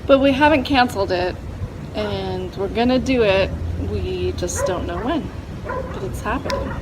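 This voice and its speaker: A cheerful woman co-hosts a relaxed chat about her festival and toasts her listeners, swearing playfully. She speaks very slowly at a middle pitch, with dogs and street traffic clearly audible behind her. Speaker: festival organizer and co-host. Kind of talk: casual prerecorded chat. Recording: street noise, dog and vehicles behind.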